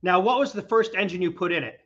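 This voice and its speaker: A man's voice: high-pitched, quick pace, fairly bright tone, lo-fi sound. A man hosting a casual interview from his garage, chatty and rambling, his voice recovering from being sick. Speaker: host. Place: his garage, windy outdoors.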